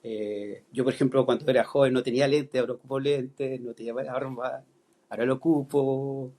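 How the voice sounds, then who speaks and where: A man's voice: medium pitch, steady tone, a little fast, dark tone. Hesitant Chilean man thinking aloud. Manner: thoughtful, many hesitations and restarts. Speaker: guest philosophy teacher. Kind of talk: podcast conversation.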